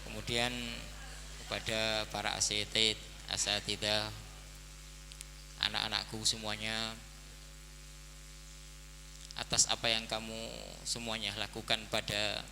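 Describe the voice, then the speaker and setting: A man in his forties, head of a boarding school, preaching slowly to his students and often saying "nah, ini" to fill his pauses. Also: fairly low pitch. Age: 40s. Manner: slow, measured preaching with the repeated filler "nah, ini". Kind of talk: birthday speech and sermon to students. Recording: hall reverb with faint noise.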